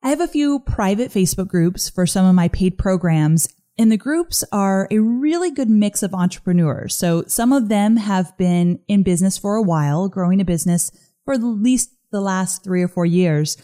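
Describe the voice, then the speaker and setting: A woman hosting a casual solo podcast, chatty and upbeat, her voice a little gruff this morning. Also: medium pitch, mellow. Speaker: podcast host.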